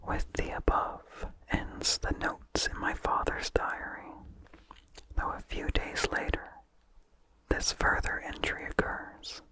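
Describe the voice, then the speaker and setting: ASMR reader: unvoiced breathy whisper, very slow and deliberate, hushed close-mic delivery. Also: poor audio. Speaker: ASMR host reading a vintage horror story. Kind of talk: whispered ASMR story reading. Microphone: close microphone with incidental mouth sounds.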